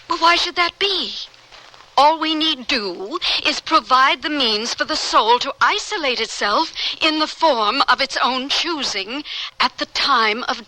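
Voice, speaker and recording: Bright-voiced, serene, devoted dying scientist. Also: female voice, high voice, dry recording, heavily acted, low-quality recording. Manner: theatrical, earnest, measured delivery. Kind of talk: old-time radio drama acting.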